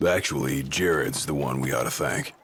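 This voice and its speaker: A man playing a gruff, protective young bodyguard in a video game cutscene. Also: a bass voice, normal speed, a bit bright, slight background noise. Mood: steady, earnest.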